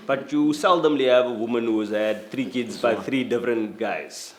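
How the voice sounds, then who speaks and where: Measured, questioning South African man. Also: mid-range voice, slight background noise. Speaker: photographer and activist. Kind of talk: casual panel discussion.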